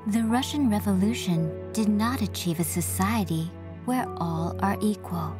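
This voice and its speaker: Brief: woman documentary narrator, unhurried and measured, with new-age music under her voice. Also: mid-range voice. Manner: slow, measured and solemn.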